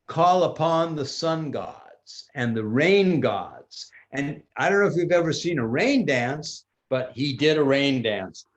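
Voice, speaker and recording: An older man improvising a children's story like a grandpa, theatrical, with pauses and restarts. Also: a little high.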